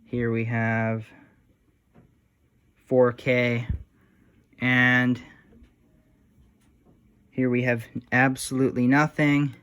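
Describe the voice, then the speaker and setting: A man's voice: medium pitch, level tone, very slow, pauses often, fairly bright tone, smooth. A man giving a casual, informal narration of hands-on repair work, thinking aloud a little hesitantly as he goes. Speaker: hobbyist restorer presenting a repair.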